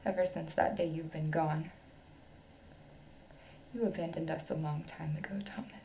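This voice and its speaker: An actress playing a wife who fears for her husband, swinging from whispered accusations to shouted anger. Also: mid-range voice, measured pace, reverberant, slight background noise. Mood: resigned. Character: worried wife confronting obsessed astronaut husband.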